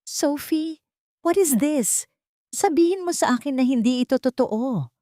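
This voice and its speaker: A woman plays a cornered, furious husband in an audio drama. The voice jumps high and accusing, full of panic and outrage, in a close, dry recording. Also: animated, measured pace, mellow, no room sound. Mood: furious disbelief. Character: panicked, furious cheating husband shouting denials.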